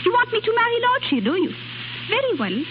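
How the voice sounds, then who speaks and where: High, fiery young Frenchwoman. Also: big pitch swings, fast. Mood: angry, defiant. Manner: indignant, emotional, foreign phrasing. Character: fiery, proud young Frenchwoman, a cabaret singer. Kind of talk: 1930s radio drama acting. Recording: noisy, dull 1930s radio recording.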